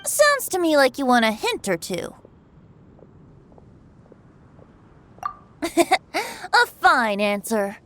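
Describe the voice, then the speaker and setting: A woman's voice: high voice, sing-song, unhurried, a bit bright, full character voice. A woman voicing a playful, carefree boyish young male bard with a teasing, theatrical manner in an anime game. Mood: playful, sly. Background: background music.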